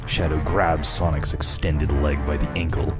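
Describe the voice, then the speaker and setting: A man's voice: extremely low pitch, level tone, normal speed, over loud music. A man narrating a fan fiction script in a casual group reading.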